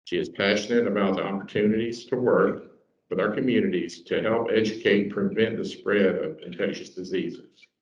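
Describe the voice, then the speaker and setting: A man's voice: lowish voice, moderate pace. A man introducing a speaker at a club meeting, matter-of-fact. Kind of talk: reading an introduction, then fixing the mic. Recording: dull, echoey, far from mic.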